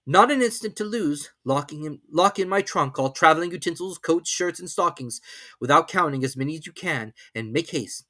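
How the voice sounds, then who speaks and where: A man voicing an impatient, eager professor in his forties in a storybook reading, brisk and commanding. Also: a little high, lively intonation, bright, forceful tone, dry recording, clean studio sound, pitched above the performer's own voice. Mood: hurried, commanding. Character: impatient, eager forty-year-old male professor.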